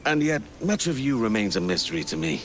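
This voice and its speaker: Roguish, gold-hungry pirate captain. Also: male voice, fairly low pitch, sing-song, quick pace, fairly bright tone, a little hiss or hum. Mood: probing, confident. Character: roguish young male pirate captain, gold-driven and easygoing. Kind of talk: video game cutscene acting.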